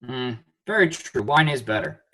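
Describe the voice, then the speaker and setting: A man's voice: mid-range voice, lively intonation, moderate pace, fairly bright tone, close to an everyday voice. A man acting a flippant, hard-drinking theatre player in an audio play, sarcastic and bickering. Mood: wry, flippant.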